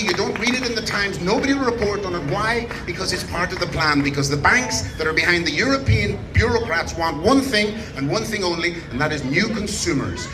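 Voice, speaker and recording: An Irish man giving an impassioned, rousing speech at an outdoor street protest, shouting at times. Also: high voice. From Ireland. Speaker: rally speaker. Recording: roomy and distant over loud crowd noise. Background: large crowd.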